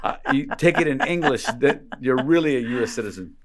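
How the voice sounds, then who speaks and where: A man in casual conversation as a guest, telling stories in an animated way and acting out bits of dialogue. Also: mid-range voice, fairly quick. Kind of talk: casual chat.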